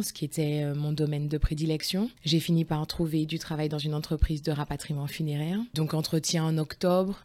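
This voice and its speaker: Female interviewee, low pitch, candid personal storytelling with expressive, emphatic delivery.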